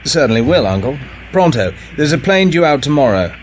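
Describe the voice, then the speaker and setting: Clipped British secret agent, noisy background. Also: male voice, a little high, big pitch swings, brisk. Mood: breezy. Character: cool, dry British male secret agent. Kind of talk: radio drama acting.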